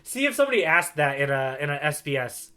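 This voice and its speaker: A man's voice: fairly high pitch, sing-song, quick pace, sharp tone. A man co-hosting a manga podcast, chatting informally and joking along. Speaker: podcast co-host. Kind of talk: casual chat about manga.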